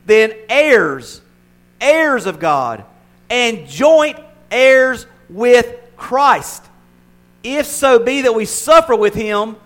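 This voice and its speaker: A man's voice: high voice, a bit bright. An older man preaching a conversational sermon as pastor, mixing humor with emphatic, building repetition. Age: 60s.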